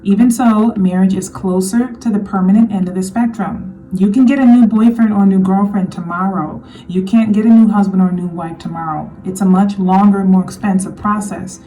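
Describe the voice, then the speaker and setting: A woman giving an earnest commentary monologue on her channel, slightly hoarse, often repeating her points to drive them home. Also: mid-range voice, fairly even intonation, fairly quick, hardly any pauses, warm tone, recorded from a distance, poor audio. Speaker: vlogger.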